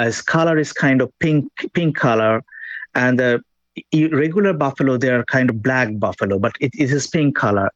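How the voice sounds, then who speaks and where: A Bangladeshi man, a Bengali-speaking journalist, gives a live report in halting, unscripted phrases. His mid-pitched voice comes over a muffled, lo-fi link with little room sound. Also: dry recording. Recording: dull lo-fi remote link.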